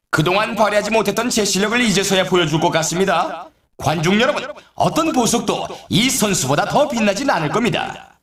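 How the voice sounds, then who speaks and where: An excitable male sports announcer for a snowboarding video game. His pitch leaps around with great animation as he draws out names with showy drama. The voice rings with a roomy echo. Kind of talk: scripted sports commentary, event introductions.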